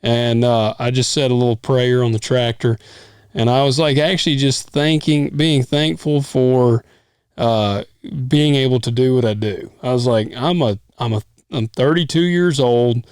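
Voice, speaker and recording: Smooth-voiced farmer in his thirties. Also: medium pitch, a little slow, a little mellow, no echo, clean studio sound. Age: 30s. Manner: easygoing, rambling, swears casually. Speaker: podcast co-host. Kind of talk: casual chat.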